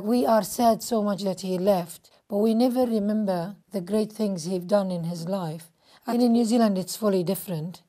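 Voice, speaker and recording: A middle-aged woman from Jordan with a Jordanian Arabic accent, telling a reflective story in a documentary interview in a living room, pausing with fillers. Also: mid-range voice, dark tone.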